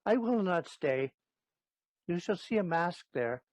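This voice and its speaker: A man's voice: sits high, animated, a little slow, no echo. A man playing a sentimental, cheeky servant clown in a Shakespearean audio play. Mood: coaxing, babbling. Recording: dull, dry lo-fi recording.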